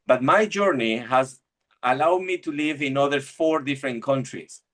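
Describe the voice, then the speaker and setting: A man's voice: medium pitch, normal speed, a bit bright. A middle-aged man from Argentina, giving a reflective church webinar talk over a video call and often restarting his phrases as he searches for words. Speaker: webinar speaker.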